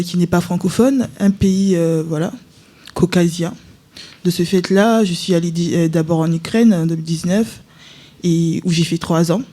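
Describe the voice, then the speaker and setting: A young woman from Ivory Coast, a student guest on live radio, speaking in a measured, careful way. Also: lowish voice, mellow. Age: young adult.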